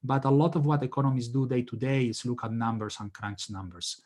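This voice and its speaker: A man from Spain with a Spanish accent, an academic economist and native Spanish speaker giving informal, measured, explanatory panel remarks. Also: mid-range voice, fairly even intonation, a little mellow.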